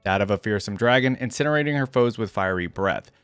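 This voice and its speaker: A man's voice: on the low side, lively intonation, quick pace, fairly bright tone, clean tone, no echo, soft background music. A man hosting a reaction channel, moving between casual commentary and reading lore aloud in a conversational way.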